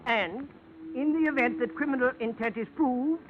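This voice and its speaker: A man playing a genial, verbose deep-sea salvage expert in a 1950s radio drama, speaking in a pompous, florid and formal manner. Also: moderate pace. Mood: businesslike, grand. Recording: dull, noisy old radio recording.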